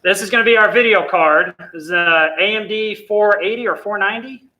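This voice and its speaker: A man's voice: sits high, brisk, highs cut off. A young man from South Carolina, casually explaining a computer build as he works in a hot studio. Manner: relaxed, chatty, explains as he works. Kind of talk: casual hands-on demo chat. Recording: noisy studio recording.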